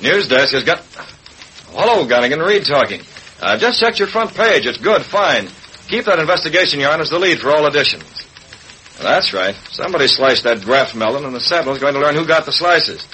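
An actor playing a principled, authoritative newspaper publisher, crisp and earnest, in an old radio drama. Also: male voice, a little high, sing-song, fairly quick, pushed and bright. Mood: brisk, businesslike. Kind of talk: 1940s radio drama acting. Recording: noisy old radio recording.

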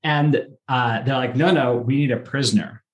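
A man chatting through a casual plot recap as a podcast co-host, telling it in an animated way with acted-out dialogue. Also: mid-range voice, normal speed, rough recording.

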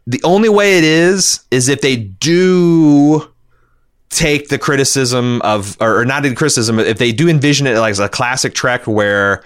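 A man's voice: mid-range voice, moderate pace. Blunt man talking TV as podcast co-host. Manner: blunt, casually profane. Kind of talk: casual opinionated TV discussion.

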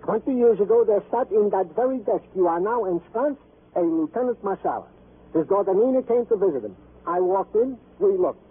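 A man playing a chatty, proud, comical American police sergeant in an old radio drama, husky and pompously folksy. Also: sits high, expressive, a little fast. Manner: pompous mock-formal wording, folksy. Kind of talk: 1950s radio comic-relief acting. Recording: muffled vintage radio broadcast recording.

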